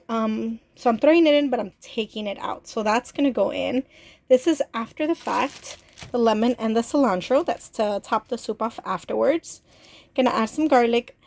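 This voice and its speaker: A woman's voice: on the high side, a little mellow. Stuffy-voiced woman chatting in an RV. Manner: chatty, with many "like" and "um" fillers. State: stuffy with a head cold. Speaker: RV travel vlogger. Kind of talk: casual cooking vlog. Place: small RV kitchen.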